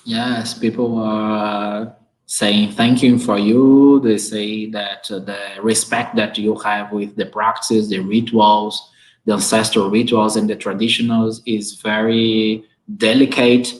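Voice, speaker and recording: A man with a Brazilian Portuguese accent hosting an online chat from home, speaking halting English and laughing often. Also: on the low side, little pitch movement, low-quality recording. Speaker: host. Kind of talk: online conversation, relaying audience comments. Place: at home, video call.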